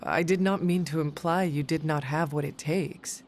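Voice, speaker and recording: A performer voicing a calm, earnest resurrected warrior in a video game, with formal, measured, old-fashioned speech. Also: medium pitch, slight background noise, natural voice. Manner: formal, measured, avoids contractions.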